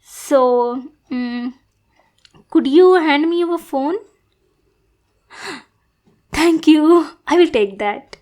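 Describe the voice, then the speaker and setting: A woman's voice: sits high, darkish tone. A woman playing an obsessive, lovestruck bodyguard in an audio roleplay, sweet and awkwardly gushing as she talks to her boss. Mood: sweetly bossy. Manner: sweet, adorkable, slow, gushing then backpedalling. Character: obsessive, lovestruck young female bodyguard, sweetly bossy and possessive. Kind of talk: acted audio roleplay addressed to listener.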